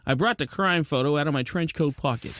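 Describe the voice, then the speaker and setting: A man's voice: a little high, a little fast, a bit bright, no room sound, studio quality, lightly acted. An actor playing a plucky, wisecracking penguin detective who tells his case in slangy hard-boiled style for a comic audio drama. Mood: narrating, wry. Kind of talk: scripted comic noir narration and dialogue.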